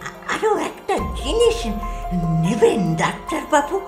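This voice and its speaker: A woman playing a frail, dying old patient in an animated horror story, pleading and secretive, halting and repeating words. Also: high-pitched. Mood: wheedling, eerie. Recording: echoey, with music behind.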